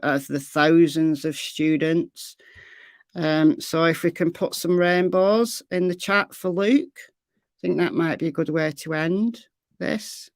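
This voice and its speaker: A middle-aged woman hosting a true crime livestream, chatting casually with viewers in a rambling way, her voice slightly hoarse. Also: deep voice, darkish tone, no room sound, clean studio sound. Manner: rambling, chatty, trails off into fillers.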